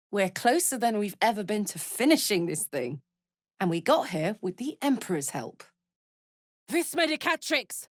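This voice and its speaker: A woman's voice: mid-range voice, dry recording, clean studio sound. An actress voicing a brash, big-hearted young female warrior in a video game, blunt and swaggering, tough yet playful and emotionally open. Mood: theatrical incanting. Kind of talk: video game character voice lines.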